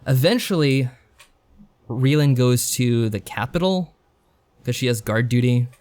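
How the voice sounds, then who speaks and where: A man's voice: mid-range voice, animated, unhurried, darkish tone. A man co-hosting a podcast in casual chat, giving a rambling, joking recap. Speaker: podcast co-host. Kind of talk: casual chat reviewing visual novels.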